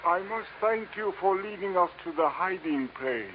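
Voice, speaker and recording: A man's voice: high voice, animated, moderate pace. A slight, menacing gunman. He speaks in stiff, courteous phrases, as in vintage radio crime drama. The recording is tinny with faint noise. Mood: polite menace. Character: slight, politely menacing Egyptian male gunman. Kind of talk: 1940s-50s radio drama acting.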